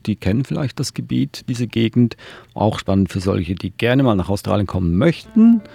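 A man hosting a radio podcast, chatting in a relaxed way with occasional filler pauses. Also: lowish voice, creaky, husky. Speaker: podcast host.

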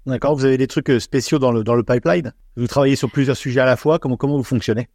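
A man hosting an informal radio interview, forming his questions in a halting way with repeated words. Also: medium pitch, steady tone, darkish tone, dry recording, studio quality. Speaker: radio host interviewing a guest.

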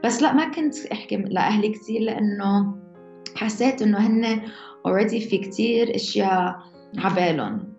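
Young woman, mixed levantine arabic accent, quick casual storytelling, roomy dull audio with faint music. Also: medium pitch, expressive, darkish tone. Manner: casual, restarts mid-phrase. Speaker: interviewee. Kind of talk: personal storytelling.

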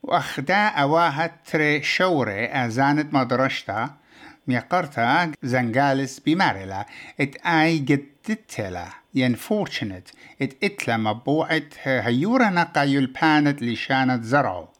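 Male presenter for a scripted radio feature, fast-paced, mid-pitched delivery. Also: expressive, fairly bright tone.

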